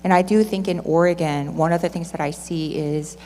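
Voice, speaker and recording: A low-pitched woman from Southern California introduces herself informally on a panel. Her voice carries long hall reverb, with a faint humming noise underneath. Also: fairly even intonation, a little fast, mellow. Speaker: nonprofit executive director, panelist. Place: large reverberant hall at a conference.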